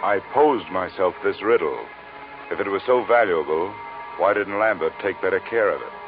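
A man plays a cool private investigator in a vintage radio detective series. His low voice is crisp, wry and businesslike. The sound is narrow like an old broadcast, with some music. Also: moderate pace, lightly acted. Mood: wry, narrating. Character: cool, hard-boiled male insurance investigator. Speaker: lead actor and narrator. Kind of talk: 1950s radio detective narration and acting.

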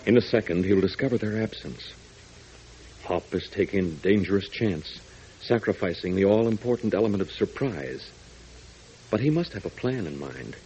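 A male radio announcer narrating a 1940s adventure serial in a dramatic, excited style, with a husky voice. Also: low voice, normal speed. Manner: dramatic, building excitement in countdowns. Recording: dull lo-fi 1940s radio transcription.

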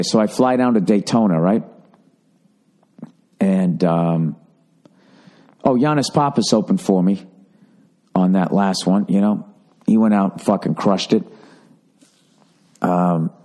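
A middle-aged man riffs through a loose podcast monologue, swearing freely and sounding sardonic. His voice is dark and mellow and sits fairly low. He rambles with plenty of ums and restarts. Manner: profane, sardonic storytelling with frequent fillers. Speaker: podcast host. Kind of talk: casual solo podcast monologue.

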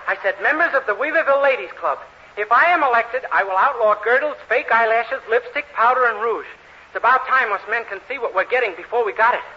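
An American man playing a naive, eager, dim-witted young man in an earnest, excitable 1940s radio comedy style. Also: very high-pitched, a little fast, exaggerated voice. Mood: pleased, bragging. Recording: hissy, muffled old radio recording.

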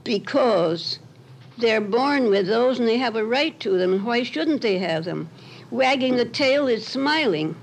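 A woman's voice: a little high, expressive, measured pace, gravelly. A woman interviewee giving a firm, earnest opinion. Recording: faintly noisy 1950s location tape.

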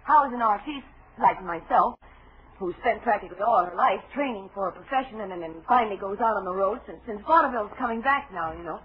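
A young American vaudeville dancer, played by a woman in a muffled, noisy 1940s radio drama. She is chatty and a little brassy, with breezy show-business slang that halts and starts again. Her pitch swings freely, and she keeps a wary edge. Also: expressive, fast, close to an everyday voice. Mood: chatty, nervous.

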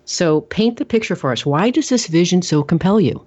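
A woman's voice: lowish voice, expressive, moderate pace, a little mellow, breathy edge. A woman hosting an interview, effusive and full of praise for her guest. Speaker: podcast host interviewer. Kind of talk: enthusiastic interview.

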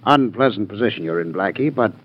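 A man's voice: fairly low pitch, animated, fast talker. An actor playing a sneering, mocking villainous art collector who savors his words in a 1940s radio crime drama. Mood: gloating, mocking. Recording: dull old 1940s radio recording.

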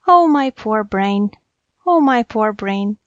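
Woman voicing a dithering, high-pitched sly jackal. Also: big pitch swings, unhurried, no room sound, dull audio. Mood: flustered. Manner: dithering, fretful, falsely humble. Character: sly male jackal feigning muddled, dim-witted confusion.

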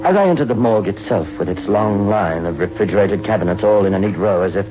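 An actor playing a wry young crime reporter who narrates a 1960s radio suspense drama. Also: male voice, fairly low pitch, animated, fast talker, over music, close to an everyday voice. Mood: brooding, suspenseful. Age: young adult. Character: young, eager male London crime reporter. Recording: muffled old radio recording.

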